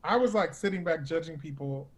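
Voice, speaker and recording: A man's voice: a little high, fairly quick. A man hosting a casual conversation, informal and emphatic, teasing as he addresses the listeners. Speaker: host.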